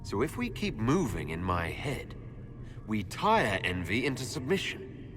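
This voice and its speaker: Expressive, questioning male hero. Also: lowish voice, a little slow, over music. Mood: questioning, guarded. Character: male elf hero, steady, questioning leader. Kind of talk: video game character acting.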